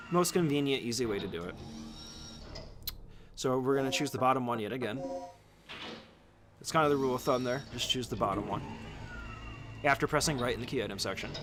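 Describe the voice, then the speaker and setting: A man's voice: medium pitch, background noise. Casual man talking through a game speedrun. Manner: informal, swears casually. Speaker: speedrunner giving a tutorial. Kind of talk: casual game walkthrough commentary.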